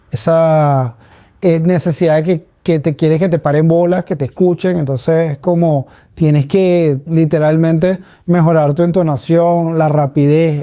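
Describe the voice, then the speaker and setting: A man's voice: fairly high pitch, dark tone, clear, resonant tone, dry recording, clean studio sound. A man with a Caracas Venezuelan accent giving reflective, conversational answers as a comedian being interviewed.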